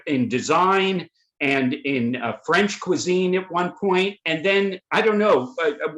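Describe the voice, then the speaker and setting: Man in an echoey room, halting intro. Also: on the high side, a bit bright. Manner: halting, many fillers and restarts. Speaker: webinar host and moderator. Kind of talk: introducing panelists. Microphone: video call.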